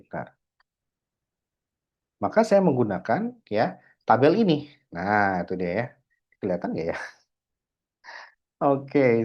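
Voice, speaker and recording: A man explains a worked problem step by step, checking in with "ya" after nearly every phrase. His voice is husky and sits at an ordinary male pitch. The screen-recording mic gives a dull, lo-fi sound. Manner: explanatory, filler "nah" and "ya", code-switching English terms. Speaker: accounting lecturer. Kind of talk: screen-recorded lecture walkthrough.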